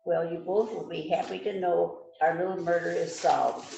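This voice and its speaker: Lady doing confident detective for a radio mystery, gravelly, measured, roomy call audio. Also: on the low side, steady tone, warm tone, lo-fi sound, a little hiss or hum. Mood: confident, accusing. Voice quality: creaky. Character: police detective solving a murder, coolly confident. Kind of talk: radio mystery play read-through. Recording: roomy echo on video call.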